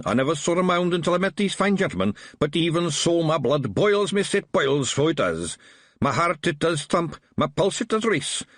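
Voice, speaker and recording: A man's voice: no room sound, studio quality. A man doing a tiny fierce Scottish pictsie lawman. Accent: put-on Scottish. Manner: excitable, high, loud and long-winded. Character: tiny fierce male pictsie policeman, earnest.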